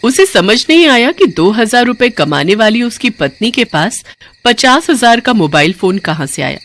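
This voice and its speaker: A woman's voice: mid-range voice, fairly bright tone, slight background noise. A woman narrating a scripted cartoon story in a dramatic storytelling style.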